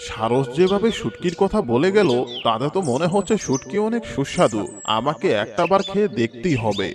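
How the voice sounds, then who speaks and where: A man's voice: a little high, big pitch swings, a little fast. A man voicing a curious, gullible crocodile in a children's cartoon, chatty and full of questions and exclamations. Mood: eager. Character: curious, gullible male crocodile, eager for tasty food. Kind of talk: Bengali children's cartoon voice acting. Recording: background music with birdsong.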